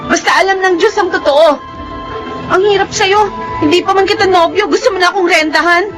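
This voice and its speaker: A woman's voice: extremely high pitch, a little fast, over music. A woman acting an earnest, principled young student and devoted daughter in a Filipino radio drama. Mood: firm, indignant. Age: young adult.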